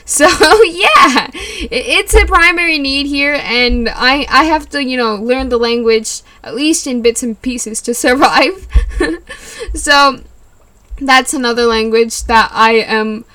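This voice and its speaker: Young woman from california rambling on her podcast, bright voice, lots of likes and little laughs. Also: high-pitched, slow. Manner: rambling, giggly, lots of likes and you knows. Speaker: podcast host. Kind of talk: casual solo podcast chat.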